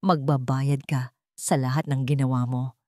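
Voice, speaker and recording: A woman's voice: a bass voice, fairly even intonation, unhurried, a little mellow, dry recording, pitched below the performer's own voice. A woman narrator plays a betrayed young wife in a romantic audio story. She is hurt yet defiant, with an intense, earnest delivery and a dry sound. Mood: hateful.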